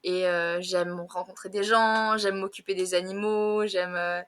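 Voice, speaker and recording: Giggly fast-talking young woman tutor. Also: mid-range voice, flat delivery, a bit bright. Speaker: French conversation tutor. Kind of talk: casual conversation lesson.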